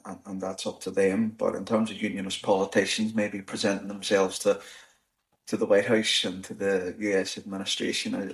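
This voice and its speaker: A man with a Northern Irish accent hosts an in-person podcast chat. His voice sits low and carries a gravelly rasp. He talks at a measured pace, often restarting and filling gaps with "you know". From Northern Ireland. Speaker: podcast host. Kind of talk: casual discussion. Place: in-person recording on the Shankill, Belfast.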